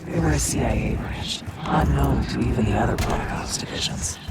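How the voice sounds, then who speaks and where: A man playing a menacing, bitter inner voice in a video game, taunting and deliberate in manner. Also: mid-range voice, heavy background noise, lightly acted. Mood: grim, knowing. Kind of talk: dramatic video game voice acting. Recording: loud music under the voice.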